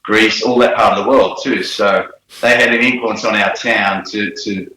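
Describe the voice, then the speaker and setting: Male guest, 40s, Australian accent, bright lower voice, easygoing storyteller. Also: normal speed, a little hiss or hum. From Australia, New South Wales. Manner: relaxed storyteller, frequent fillers and laughs. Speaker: guest, former footballer. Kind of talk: casual interview chat. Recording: roomy echo.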